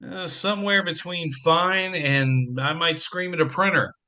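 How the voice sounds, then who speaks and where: A man acts out a stressed father going through divorce in an audio play. His voice is bright and a little high. He is anxious but earnest, with a dry, self-mocking streak. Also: fairly even intonation, a little slow. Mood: wry. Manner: self-deprecating, wry, earnest. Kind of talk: community theatre audio play acting.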